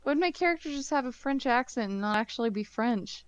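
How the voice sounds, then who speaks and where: A woman's voice: a little high, lively intonation, quick pace, muffled recording. A woman playing a tabletop game, playful in manner. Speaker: player.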